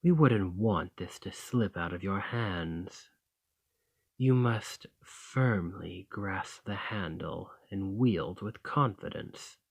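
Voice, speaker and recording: A man's voice: low voice, takes it slowly, vocal fry, husky, dry recording, full character voice. A man playing a dominant, haughty fantasy thane in an ASMR roleplay, commanding and formal with a condescending, teasing edge. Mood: instructive, teasing. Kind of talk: ASMR roleplay acting, slow and intimate.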